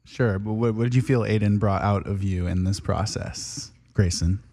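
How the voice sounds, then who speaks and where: Mellow-voiced host asking brief questions. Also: deep voice, moderate pace. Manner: brief prompts and affirmations. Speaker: interviewer, show host. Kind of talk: casual interview questions.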